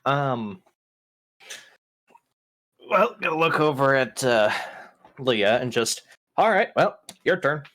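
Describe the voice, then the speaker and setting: Animated male tabletop game player. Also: mid-range voice, slow, long pauses. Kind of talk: casual in-character game banter.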